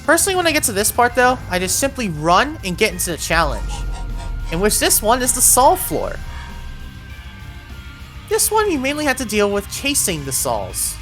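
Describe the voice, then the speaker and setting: A woman's voice: on the high side, wildly swooping pitch, moderate pace, fairly bright tone, soft background music. A woman giving an instructional voiced game walkthrough, guiding the player step by step with words of caution. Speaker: video game guide host.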